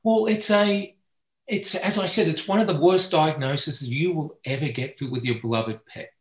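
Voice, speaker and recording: A middle-aged Australian man speaks as a veterinarian guest, earnest and passionate, now and then restarting a phrase. His voice sits a little high. The recording has a noticeable room echo. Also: normal speed. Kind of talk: interview answers. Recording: echoey room.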